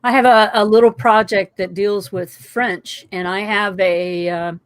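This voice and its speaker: A woman guest at a middle pitch chats casually on a video call. She sounds friendly and a little teasing, with some hesitation and fillers as she explains. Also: steady tone, measured pace, dry recording.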